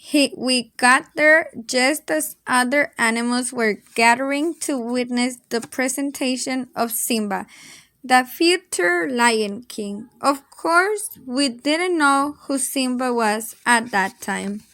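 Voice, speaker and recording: A woman reading a children's story aloud in an expressive, dramatic storytelling manner. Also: sits high, takes it slowly, no room sound, studio quality. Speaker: storybook reader.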